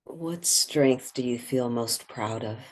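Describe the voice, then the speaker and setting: Slow, soothing meditation guide. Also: mid-range voice. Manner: soothing, measured, with long pauses. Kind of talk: guided meditation, gentle and contemplative.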